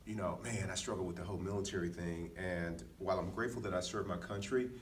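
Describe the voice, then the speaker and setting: American dude chatting casually, self-deprecating storyteller, faint noise behind him, mic a little away. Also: male voice, deep voice, fairly even intonation, quick pace, recorded from a distance. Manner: candid, self-deprecating, peppers talk with "man" and "dude". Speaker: podcast speaker, former Marine.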